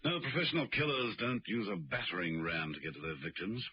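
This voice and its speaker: An unflappable gentleman secret agent in a radio drama. His upper-class English voice sits low and moves with lively inflection. He is urbane, understated and drily witty. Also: a little fast, breathy edge, no echo, exaggerated voice. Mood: musing. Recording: dull lo-fi old radio recording.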